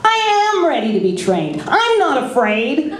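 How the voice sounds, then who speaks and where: A storyteller voicing an impatient young man in training, lifting the voice high and eager, in a roomy-sounding space. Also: huge pitch swings, a little slow, exaggerated voice.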